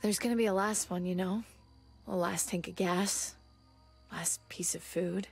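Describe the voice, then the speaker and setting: A woman's voice: fairly low pitch, steady tone, unhurried, vocal fry, breathy edge, full character voice. An actress playing a world-weary, sardonic woman in her thirties surviving a zombie apocalypse in a video game, blunt and wry. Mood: worried, bleak. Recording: faint music underneath.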